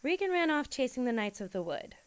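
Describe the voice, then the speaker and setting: A young woman playing a worried, caring American high school girl in an audio drama, casual and tentative. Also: sing-song, a little fast, clean tone. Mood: worried, gentle. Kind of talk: naturalistic audio drama acting.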